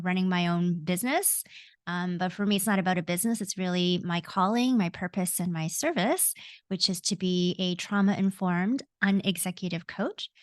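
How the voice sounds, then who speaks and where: A woman's voice: medium pitch, clear, resonant tone, no room sound. A woman in her forties with a Canadian accent, a coach speaking as a podcast guest in an earnest, reflective way with frequent ums and self-corrections. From Hong Kong, raised in Canada. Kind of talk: podcast interview.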